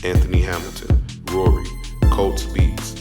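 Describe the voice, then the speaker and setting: Deep male announcer over loud music. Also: monotone, a little slow. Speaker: credits announcer. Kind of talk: scripted credits read. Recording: echoey voice under loud music.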